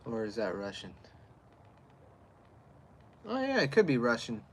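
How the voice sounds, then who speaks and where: Guy on a livestream outside, rambly and plain-spoken, mid pitch, faint hum of the outdoors. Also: wildly swooping pitch, unhurried. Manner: rambling, answers chat, loose and plain-spoken. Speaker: livestreamer reviewing beer. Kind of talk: casual livestream chat. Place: outdoors in chilly air.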